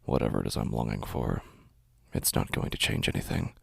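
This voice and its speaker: Man playing a gravelly, weary undead narrator. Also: very deep voice, dry recording, studio quality, full character voice. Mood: brooding, resigned. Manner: slow, halting, sombre, deliberate phrasing. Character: weary walking-dead man, numb, brooding, haunted narrator. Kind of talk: scripted horror audio drama monologue.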